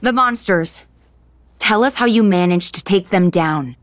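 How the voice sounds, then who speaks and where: An actress playing a cool, blunt, tough young woman hero in an animated game, terse and deadpan. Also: medium pitch, measured pace, dry recording, clean studio sound, close to an everyday voice. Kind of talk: animated video game acting.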